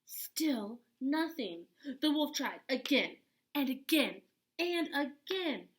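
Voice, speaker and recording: A woman reading a picture book aloud to children as an expressive storyteller, dramatic and full of emphatic pauses. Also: sits high.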